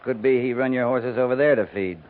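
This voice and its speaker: An actor playing a steady, weary US cavalry captain in a 1950s radio western, speaking in a calm, laconic, plain-spoken way. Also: male voice, medium pitch, fairly quick, lightly acted. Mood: businesslike. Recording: noisy, tinny 1950s radio recording.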